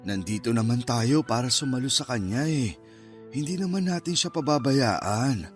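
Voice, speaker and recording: An actor playing a steady elderly grandfather with a measured, consoling way of talking, in a Filipino-accented Tagalog radio drama. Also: medium pitch, faint music behind, lightly acted. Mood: resolute, gentle.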